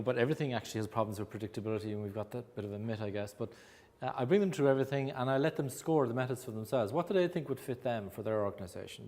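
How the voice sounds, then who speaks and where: A man's voice: fairly low pitch, darkish tone, in a large hall. A middle-aged Irish man gives a conversational talk to a small audience as a speaker on agile coaching, with plenty of fillers. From Ireland. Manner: conversational, self-deprecating, many fillers. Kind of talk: conference talk. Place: meeting room in an office.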